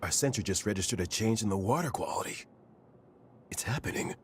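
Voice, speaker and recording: Game technician, played by a man: low-leaning register, sober reporting, slight background noise. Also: animated, brisk, lightly acted. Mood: quietly hopeful. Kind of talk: video game cutscene acting.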